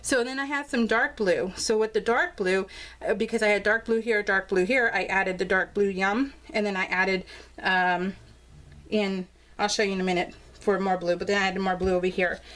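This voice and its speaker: An American woman with a medium-pitched voice talks through her craft pages in a casual vlog style. She is bubbly and gushing, and she rambles along in loose, unscripted sentences. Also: fairly even intonation, normal speed, a bit bright. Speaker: crafting vlogger showing scrapbook pages.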